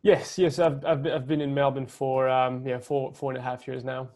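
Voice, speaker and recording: A man's voice: mid-range voice, flat delivery, normal speed, darkish tone. A man with a French accent, a co-founder guest speaking hesitantly with many fillers. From France. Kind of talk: casual interview talk.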